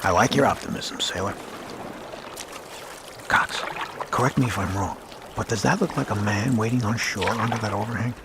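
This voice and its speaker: A man's voice: fairly low pitch, moderate pace, long pauses, noisy background, lightly acted. A man playing a stern naval commanding officer with dry sarcasm in an audio drama. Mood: dry, wry.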